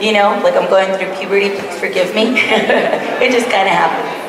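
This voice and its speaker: A hoarse, raspy-voiced woman performing spoken word poetry on a stage, slow and emphatic, chatting casually with the audience between poems. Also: mid-range voice, steady tone, noisy background. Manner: slow, emphatic dramatic delivery with chatty asides to the crowd. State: hoarse, extra raspy today. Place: live stage event in a large hall. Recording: echoey hall, distant mic, audience audible.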